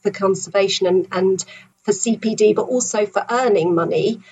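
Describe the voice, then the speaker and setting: A woman guest on a podcast, talking in a thoughtful, reflective way and pausing over her words. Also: medium pitch, darkish tone, slightly rough, rough recording. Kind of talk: conversational podcast talk.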